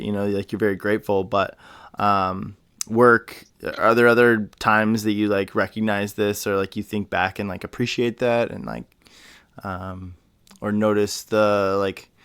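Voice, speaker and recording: Male podcast interviewer, casual and affirming, clean smooth tone on the low side, dry close sound. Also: no echo. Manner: enthusiastic, affirming. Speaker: podcast host.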